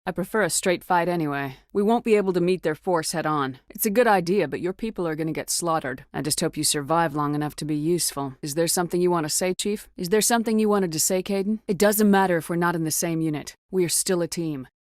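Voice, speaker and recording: An actress playing a tough, no-nonsense space marine commander in a video game, speaking in a firm, clipped, authoritative way. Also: female voice, lowish voice, quick pace, hardly any pauses, dry recording, studio quality, close to an everyday voice. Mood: steady, authoritative. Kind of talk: scripted video game dialogue acting.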